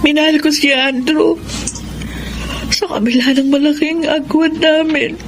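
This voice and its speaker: A woman's voice: sits high, fairly even intonation, a little slow. A woman playing a heartbroken, ashamed daughter in a Filipino radio drama, speaking tearfully and pleadingly. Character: heartbroken, ashamed daughter abandoned by her lover. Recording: loud music under the voice. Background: music.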